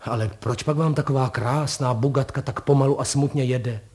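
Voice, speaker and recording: A good-hearted storybook postman, played by a male narrator, chatty and excitable. He talks in homely, colloquial turns of phrase, courteous but very wordy. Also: mid-range voice, lightly acted. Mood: curious, polite. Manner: colloquial, deferential yet exuberant, piling up words.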